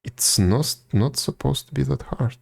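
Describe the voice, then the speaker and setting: A man chatting casually as a live game streamer, musing aloud in a low-key, halting way. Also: vocal fry.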